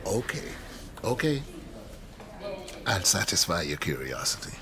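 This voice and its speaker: An actor playing an outspoken, wry, middle-aged history professor in a radio drama, emphatic and rhetorical. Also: male voice, low-pitched, big pitch swings, measured pace, a crowd in the background, exaggerated voice. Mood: guarded, teasing. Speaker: university lecturer. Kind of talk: radio drama acting, lecturing.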